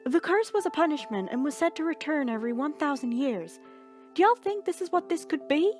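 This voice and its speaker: A woman's voice: high voice, animated, fairly quick, darkish tone, faint music behind, pitched above the performer's own voice. A woman voicing an earnest, folksy country mare pony in a fan fiction reading. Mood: earnest, questioning. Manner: folksy southern phrasing.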